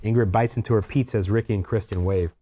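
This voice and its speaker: A man's voice: on the low side, fairly even intonation, fast, dark tone, slight background noise. A man narrating the stage directions of a screenplay as a scripted read.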